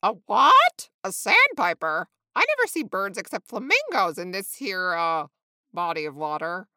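A woman's voice: a little high, wildly swooping pitch, measured pace, pushed and bright, no echo, clean studio sound, full character voice. A woman voicing an anxious, lonely young male flamingo who blurts things out dramatically, full of self-doubt, in a children's story. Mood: curious.

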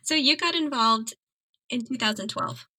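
A woman interviewing a guest in a relaxed chat, asking curious questions and giving short encouraging replies. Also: a little high, normal speed. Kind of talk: casual interview.

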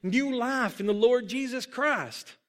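A man's voice: extremely high pitch, slow, a bit bright, voice pushed up, exaggerated voice. A man voicing a humble young boy ballplayer in a storybook, speaking in an earnest, polite, hesitant way.

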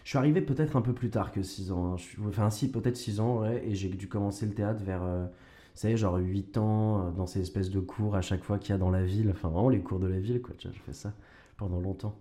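Low, relaxed young man. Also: fast talker. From Canada. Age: young adult. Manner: relaxed, slangy, stumbling restarts. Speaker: actor and guest. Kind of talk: casual conversation.

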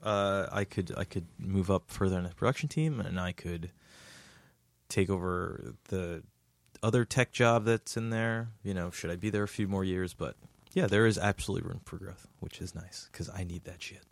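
A young man with a fairly low voice talks through a casual podcast chat, rambling and restarting, circling back on himself with lots of "like". He sits close to a podcast mic, and the sound is very dry with no room echo. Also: normal speed. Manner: rambling, many restarts and "like" fillers. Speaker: podcast guest.

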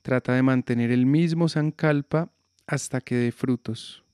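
A man guiding a yoga nidra relaxation with slow, calm, soothing instructions. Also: medium pitch, smooth, dry recording, studio quality. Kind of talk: guided relaxation meditation.

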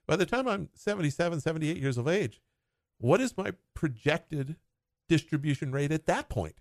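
Guy walking through retirement money plans, medium pitch, dry close sound, chatty. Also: no room sound. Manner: explains retirement planning in runs of short clauses, laughing and teasing. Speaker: financial advisor. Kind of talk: conversational explanation.

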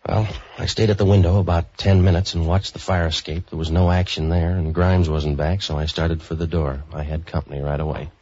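An actor playing a world-weary, wisecracking troubleshooter in a deadpan, sardonic 1940s radio detective style. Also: male voice, deep voice, brisk, hardly any pauses, breathy edge, no echo. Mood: deadpan narrating. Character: world-weary, wisecracking hard-boiled private troubleshooter, a man. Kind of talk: 1940s radio detective acting, narration and dialogue. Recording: muffled old 1940s radio recording.

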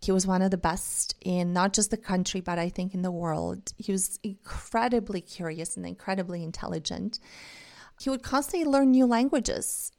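A woman's voice: medium pitch, moderate pace, a little mellow. Earnest woman. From Bulgaria. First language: Bulgarian. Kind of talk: reflective monologue.

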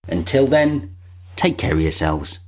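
A man presenting a podcast in a chatty, informal and gently self-deprecating way. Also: deep voice, very animated, measured pace. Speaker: podcast host and interviewer.

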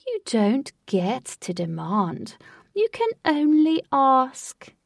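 A woman's voice: sits high, huge pitch swings, vocal fry, no echo, far from the mic, pitched above the performer's own voice, exaggerated voice. A woman reader puts on a crazed male showman from a sinister storybook ride. He taunts in a raised, theatrical voice and drags out his mocking phrases. The sound is dry and slightly off the microphone. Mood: taunting. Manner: theatrical, drawn-out mocking delivery.

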